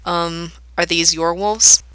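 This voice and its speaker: A woman's voice: lowish voice, fairly even intonation, takes it slowly, bright, forceful tone, clear, resonant tone, no room sound. A woman voicing a wary young man. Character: nervous young man trying to stay calm.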